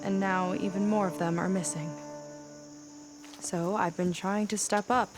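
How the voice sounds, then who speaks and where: An actress playing a determined young petty officer in a video game, proud and frustrated, speaking earnestly and plainly. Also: female voice, mid-range voice, steady tone, a little slow, over music, natural voice. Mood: wistful, earnest. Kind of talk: video game cutscene acting.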